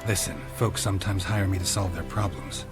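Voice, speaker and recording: An actor playing a gruff, terse monster hunter for hire in a video game, with a gravelly, dry delivery. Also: male voice, sits low, a little fast, loud music behind the voice, close to an everyday voice. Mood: dry, businesslike. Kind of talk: video game cutscene acting.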